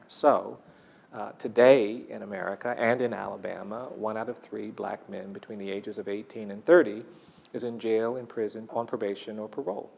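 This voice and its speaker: A man giving measured, explanatory interview commentary, deliberate and emphatic in his delivery. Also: fairly low pitch, creaky, husky, in a large hall. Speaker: documentary interviewee.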